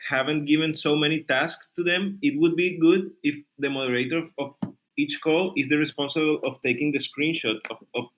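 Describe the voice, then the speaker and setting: A man who is a non-native English speaker, making suggestions haltingly in a casual online meeting. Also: on the high side, a bit bright, rough recording. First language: not English. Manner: hesitant, halting. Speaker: working group participant. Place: online group call.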